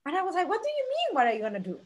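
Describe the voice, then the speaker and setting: Energetic woman from Argentina, forties. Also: very high voice, racing. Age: 40s. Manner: energetic, animated storyteller. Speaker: guest. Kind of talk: conversational interview storytelling.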